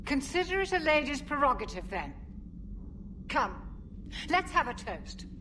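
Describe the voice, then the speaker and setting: An actress playing an older female officer, fond, formal and gently wry, in a video game. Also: high-pitched, big pitch swings, a little slow, slight background noise, heavily acted.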